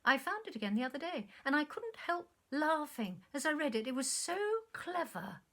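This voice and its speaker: A woman's voice: sits high, very animated, moderate pace, fairly bright tone, pitched above the performer's own voice, exaggerated voice. Literary story role: woman plays wistful, self-absorbed male former lover, effusive and earnest in delivery. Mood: wry, reproachful.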